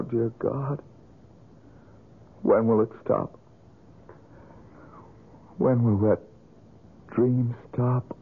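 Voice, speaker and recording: An actor playing a bitter, haunted painter with a husky voice in a 1970s radio drama. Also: male voice, mid-range voice, heavily acted. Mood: anguished, startled. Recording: tinny old radio recording.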